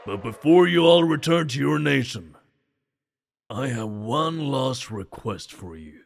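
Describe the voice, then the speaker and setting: Guy playing regal fantasy king, slow n gravelly, very formal, big hall reverb. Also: mid-range voice, animated. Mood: solemn, gracious. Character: grateful, stately male king of a fantasy realm. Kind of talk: fantasy audio drama acting.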